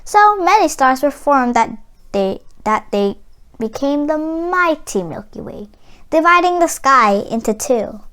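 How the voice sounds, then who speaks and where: Woman, children's storybook narration, high-pitched, animated intonation, unhurried read-aloud. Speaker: storybook reader.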